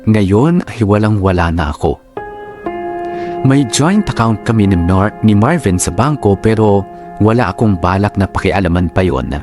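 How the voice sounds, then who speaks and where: A man narrating a letter for a radio drama in measured phrases, with sentimental, dramatic delivery. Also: fairly low pitch, animated. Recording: clear music bed behind.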